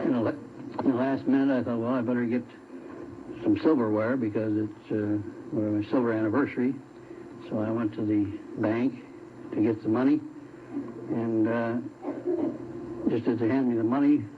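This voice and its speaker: A middle-aged American man from Chicago telling his own story as a radio guest in a plain, hesitant way, on an old recording. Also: mid-range voice. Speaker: show guest telling an anecdote. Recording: tinny, clearly noisy old radio recording.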